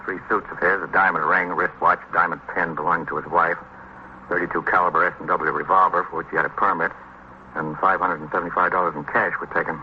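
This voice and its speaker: A man plays an American police lieutenant in an old radio drama. His voice is very low and he rattles off facts quickly in a terse, clipped way. The sound is dull and lo-fi. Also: flat delivery, lightly acted. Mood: terse, factual. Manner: clipped, matter-of-fact reporting. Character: male police detective lieutenant, terse and businesslike. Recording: muffled old radio recording, faint noise.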